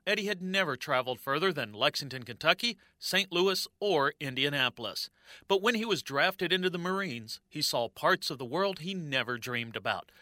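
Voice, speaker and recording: American man, scripted narrator, animated intonation, slightly high, dry close sound. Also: dry recording.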